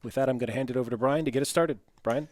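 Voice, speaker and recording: A man's voice: mid-range voice, rapid-fire, a little mellow, no echo. A man hosting an online webinar, giving housekeeping announcements in an informal, matter-of-fact way. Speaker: webinar host and moderator.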